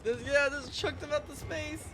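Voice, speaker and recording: A male game streamer reacts casually to what is on screen. The voice sits high for a man, with loud game music behind it. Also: fairly even intonation, normal speed. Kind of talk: casual reaction commentary over gameplay. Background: video game music.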